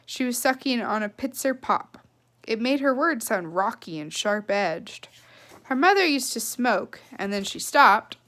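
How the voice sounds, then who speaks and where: A woman reading a children's novel aloud with expressive, emphatic phrasing and casual asides. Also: a little high, measured pace. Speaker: read-aloud storyteller.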